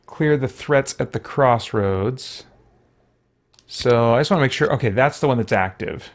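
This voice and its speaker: A man's voice: medium pitch, a little slow. Man casually thinking aloud while gaming. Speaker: gaming video host. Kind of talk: casual let's-play commentary.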